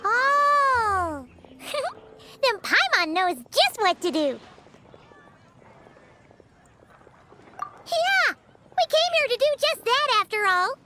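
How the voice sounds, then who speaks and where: An extremely high, squeaky fairy child, eager and full of questions. The voice swoops up and down in animated video game style. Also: female voice, takes it slowly, loud music behind the voice, exaggerated voice. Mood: eager, cheerful. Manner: exclaiming, eager, inquisitive.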